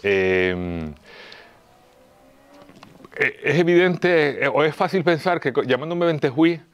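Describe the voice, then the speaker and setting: A fifty-year-old man with a Canarian Spanish accent, explaining things as an interview guest, haltingly, searching for words and repeating phrases. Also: a little high, lively intonation, lo-fi sound. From Las Palmas, Canary Islands. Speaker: interview guest, local police officer and heritage enthusiast. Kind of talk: interview answers, explanatory talk.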